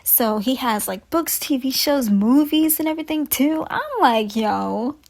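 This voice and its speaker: Gushing woman with a higher-pitched voice. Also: unhurried. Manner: gushing, expressive. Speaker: video host reviewing game phone calls. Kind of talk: casual fan commentary.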